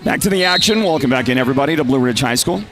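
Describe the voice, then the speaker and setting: A man with a nasal, congested voice from a lingering cold, calling a live high school basketball game in a chatty way and raising his voice on big plays. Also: animated, very fast, faint music behind. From Northern Arizona. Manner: chatty, rises to loud calls on big plays. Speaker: play-by-play announcer.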